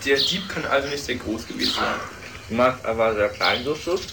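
A man playing a cheeky, slow-witted boy detective sidekick with a blunt, mock-serious manner in an amateur parody radio play. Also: mid-range voice, gravelly, lightly acted. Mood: puzzled. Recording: very noisy amateur recording.

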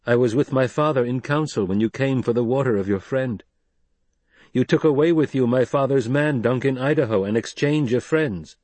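A man's voice: medium pitch, normal speed, darkish tone, no echo, pitched above the performer's own voice, lightly acted. A man playing a guarded young noble boy in an audiobook, who speaks formally and directly like an adult. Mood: bold, direct.

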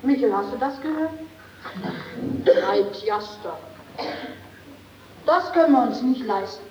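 An actress playing a plucky, sharp-tongued young maid in projected 1950s German stage style, on an echoey, noisy old tape. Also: female voice, on the high side, lively intonation, takes it slowly, far from the mic, lo-fi sound. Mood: indignant, haggling.

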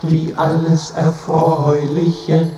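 Very echoey, drawn-out chant. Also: male voice, a little high, completely flat delivery, mellow, soft background music. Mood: solemn lament. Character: chorus of peasants solemnly imploring a god. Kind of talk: sung, chanted theatre chorus with brass. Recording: distant, very echoey, dull sound.

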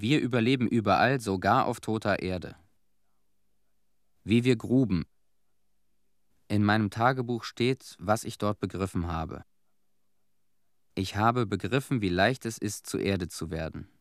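An actor playing a weary, bitter former cleanup worker who recalls events in a terse, sober monologue for a German radio play. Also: male voice, on the low side, measured pace, long pauses, dry recording, studio quality, natural voice. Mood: bitter, reflective.

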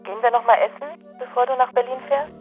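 An actress playing an apologetic friend leaving a telephone message in a German radio drama. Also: female voice, fairly high pitch, steady tone, quick pace, music in the background. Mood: apologetic, hesitant. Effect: telephone message filter. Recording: band-limited, muffled phone message.

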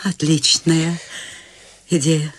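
A slow, low-voiced whimsical old lady. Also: little pitch movement, slightly rough, exaggerated voice. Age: older. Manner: unhurried, wistful, tender. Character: eccentric, free-spirited elderly woman. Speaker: Maude in a radio play. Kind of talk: studio radio drama acting.

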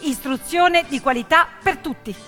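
A woman's voice: sits high, moderate pace, voice pushed up, close to an everyday voice. A woman playing a determined, idealistic teenage schoolgirl activist in an earnest theatrical monologue. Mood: impassioned, rallying. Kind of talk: theatrical first-person monologue. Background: piano music.

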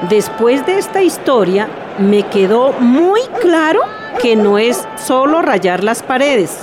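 A woman's voice: fairly high pitch, very animated, slow. A woman with a Bogotá Colombian accent playing a curious neighbour in a school radio drama about young painters. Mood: convinced. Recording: noisy street ambience with vehicles.

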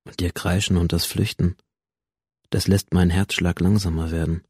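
An actor playing a cold, alienated teenage schoolboy who narrates a radio drama in a detached, brooding, deliberate way. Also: male voice, sits low, level tone, darkish tone, slightly rough, dry recording, clean studio sound. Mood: cold, resolute. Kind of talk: first-person radio drama monologue.

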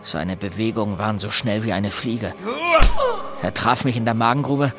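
A man's voice: fairly low pitch, normal speed, close to an everyday voice. A man narrating a grim first-person story of a violent home in a German audio drama, in an observant literary style. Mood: grim, restrained. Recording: music behind the voice.

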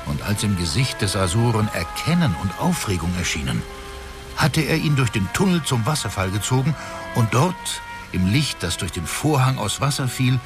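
An actor narrating a fantasy radio drama as a storyteller, with expressive, measured delivery. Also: male voice, lowish voice. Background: clear music.

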